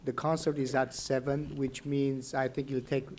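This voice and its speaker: A man's voice: medium pitch, flat delivery, a little mellow, slight background noise. A man moderating a panel discussion, switching between languages as he introduces the next question. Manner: brisk, switching languages mid-sentence.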